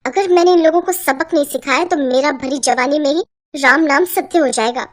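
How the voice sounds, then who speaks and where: A woman voicing an exasperated, sarcastic young daughter-in-law in a cartoon, complaining with a sharp tongue. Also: sits high, moderate pace, natural voice. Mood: fed up. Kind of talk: Hindi cartoon voice acting.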